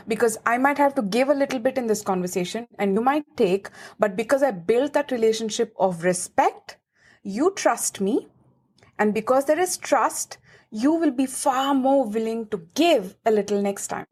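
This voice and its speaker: A woman with an Indian accent, a lawyer and advisor, giving earnest, emphatic answers as a podcast guest. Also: a little high. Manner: earnest, emphatic, lively explaining. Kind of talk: podcast interview.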